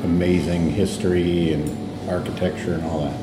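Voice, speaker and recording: A man's voice: sits low, level tone, moderate pace, background noise. A man hosting a podcast and interviewing a guest, talking casually with many fillers. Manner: laid-back, lots of fillers, asks short follow-up questions. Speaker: podcast host and sign painter. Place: bar.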